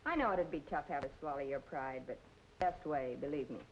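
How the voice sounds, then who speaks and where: Actress as a low-voiced, world-weary nightclub dame. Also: female voice, fairly quick, a little hiss or hum, lightly acted. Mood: weary, blunt. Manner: slangy, blunt, streetwise. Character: world-weary, tough but kindly nightclub woman. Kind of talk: old film noir acting. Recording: dull vintage film audio, music behind.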